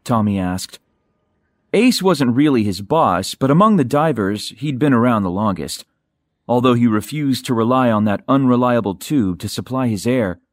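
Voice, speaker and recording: A man's voice: mid-range voice, expressive, normal speed, no echo, clean studio sound. A man reading dramatic fiction as a scripted audiobook narrator.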